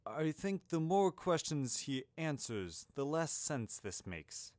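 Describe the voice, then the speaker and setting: A man's voice: a little high, big pitch swings, a little mellow, no echo, pitched above the performer's own voice. A man voicing a grandfatherly old wizard headmaster in an audiobook story, courteous, wordy and a little puzzled. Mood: puzzled, probing. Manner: genteel, wordy, halting over asides.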